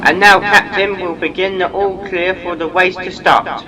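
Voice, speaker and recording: A man's voice: high-pitched, steady tone, moderate pace, background noise, natural voice. A man playing a formal, upbeat boat race announcer addressing spectators in an amateur audio drama.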